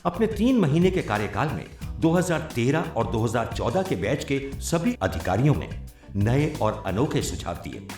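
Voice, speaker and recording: A man's voice: mid-range voice, big pitch swings, moderate pace, a little mellow. A man narrating a formal, scripted documentary-style government training film. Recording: roomy echo, music behind. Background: background music.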